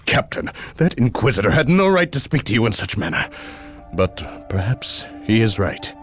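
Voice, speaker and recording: An actor playing an earnest, questioning subordinate officer in a video game. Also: male voice, on the low side, very animated, moderate pace, a bit bright, husky, soft background music, heavily acted. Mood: concerned. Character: earnest, questioning military officer, loyal subordinate to his captain. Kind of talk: dramatic video game cutscene acting.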